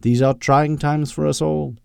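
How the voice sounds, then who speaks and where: A man's voice: fairly high pitch, steady tone, a little slow, vocal fry, darkish tone, smooth, no room sound, studio quality, pitched above the performer's own voice. A man voicing a prim, imperious elderly British woman, a secretary in an audiobook story. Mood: dry, firm.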